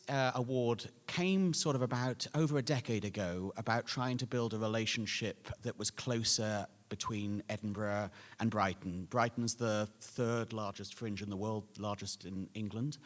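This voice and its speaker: A man giving an informal, reflective and rambling speech as an award presenter. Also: medium pitch. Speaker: festival patron and award presenter. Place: large indoor hall at an awards event. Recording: echoey reverberant hall.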